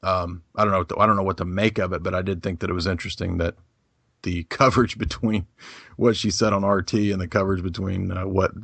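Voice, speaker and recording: A man's voice: low voice, steady tone, fast talker, gravelly, a bit bright, no room sound. A man speaking as a guest in a casual podcast discussion, rambling with many fillers and restarts.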